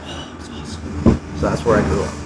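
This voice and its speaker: A man's voice: brisk, background noise. Blunt, profane man in his forties. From Texas. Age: 40s. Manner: blunt, swears freely, jokey. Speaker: podcast guest, soldier. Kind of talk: casual podcast chat. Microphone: podcast mic.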